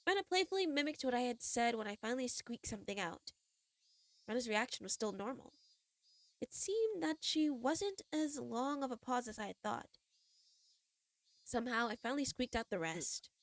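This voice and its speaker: A woman's voice: a little high, lively intonation, moderate pace, dry recording. A young woman streamer with a stuffy cold nose, bubbly and giggly as she chats and reads a game story aloud. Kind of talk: casual chat and reading a visual novel aloud.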